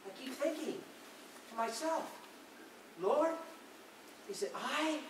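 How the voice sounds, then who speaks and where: Devout fisherman disciple played by a man, earnest stage monologue, high voice, very echoey and far from the mic. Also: expressive, takes it slowly, full character voice. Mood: troubled. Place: large reverberant indoor hall, recorded from afar. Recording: very echoey hall, distant mic, noisy.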